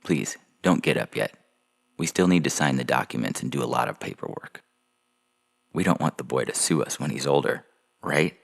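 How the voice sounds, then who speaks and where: Audio fiction official, played by a man: extremely low, creaky voice, brisk and formal, music underneath. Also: lively intonation, heavily acted. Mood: formal, businesslike. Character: brisk businesslike male official running an adoption procedure.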